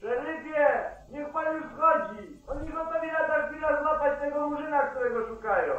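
An actor playing an anxious, deferential deputy who politely urges, in a 1940s radio drama. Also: male voice, very high voice, normal speed. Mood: urgent. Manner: polite, urging. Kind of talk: 1940s Polish radio play acting. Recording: tinny, echoey old 1949 radio recording.

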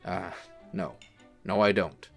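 An actor playing a suave, teasing angel in human form, smooth and courtly in a radio-style drama. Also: male voice, low voice, little pitch movement, takes it slowly, faint music behind, heavily acted. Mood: charming, tender.

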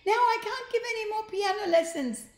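A woman's voice: extremely high pitch, brisk, voice pushed up. A woman voicing a large, melodramatic villainous piano teacher in a children's storybook, shrieking with outrage. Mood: outraged shrieking. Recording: roomy home recording.

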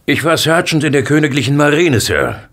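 A man's voice: fairly high pitch, very animated, fairly quick, a bit bright. A man playing a respectful former navy sergeant turned messenger in a German audio drama, formal and deferential. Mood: dutiful.

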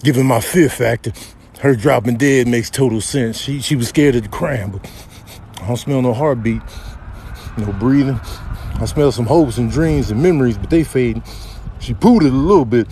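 A man voicing an unbothered animal in a comic dub, with deadpan slangy delivery. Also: mid-range voice, normal speed, a little hiss or hum, close to an everyday voice. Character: unbothered, deadpan male animal sniffing things out.

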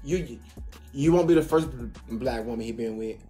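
A man's voice: mid-range voice, normal speed, over music. A man in his thirties hosting a casual live stream panel, teasing and laughing often.

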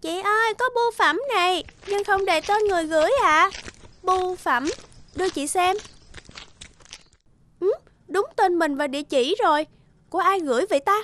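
A woman voicing a kind, earnest young sister in an animated morality tale. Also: very high-pitched, normal speed, long pauses.

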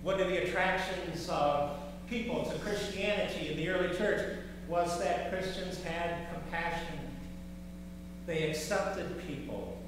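An older man preaching a sermon from a pulpit, slow and measured, with rising emphasis on key words. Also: fairly high pitch, fairly even intonation, far from the mic. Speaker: pastor. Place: large church sanctuary, at a pulpit. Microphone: far camera in the sanctuary. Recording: echoey church hall from afar, background hum.

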